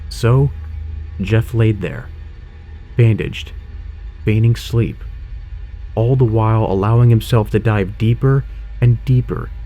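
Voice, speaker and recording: A man's voice: fairly low pitch, dark tone. A man narrating a scripted horror audio drama in a dramatic storytelling style.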